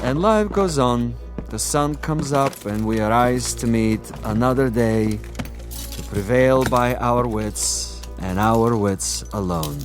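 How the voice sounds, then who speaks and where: An actor in a radio drama playing a cunning, imperious Soviet commissar, pompous and condescending. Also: male voice, medium pitch, slow pace, music in the background, natural voice. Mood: haughty.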